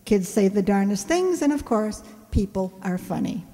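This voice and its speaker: Mellow-voiced woman presenting in an echoey hall. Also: mid-range voice, expressive, moderate pace. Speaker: presenter introducing a radio play. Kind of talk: scripted introduction to an audience.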